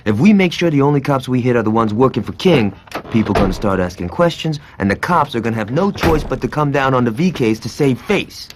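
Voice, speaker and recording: An actor playing a brash, sarcastic, violent gang lieutenant in a video game cutscene, foul-mouthed and cocky. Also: male voice, mid-range voice, lively intonation, a little fast, background noise, natural voice. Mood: cocky, gleeful.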